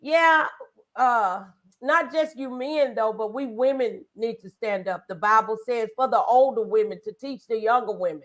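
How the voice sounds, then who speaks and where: High-pitched woman hosting inspirational talk. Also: moderate pace. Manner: exhorting, conversational. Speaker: talk show host.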